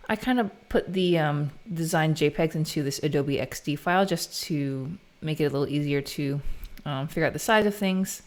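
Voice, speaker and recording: An American woman live streaming as she codes, chatting informally and thinking aloud in a casual way. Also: low-pitched. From United States. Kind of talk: casual live stream chat while coding.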